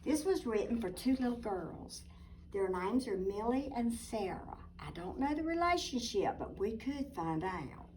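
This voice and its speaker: A woman reading a picture book aloud expressively, deliberate and emphatic, with little asides to young listeners. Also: on the high side, very animated, a little mellow, a little hiss or hum. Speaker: children's storybook reader, teacher. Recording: distant microphone.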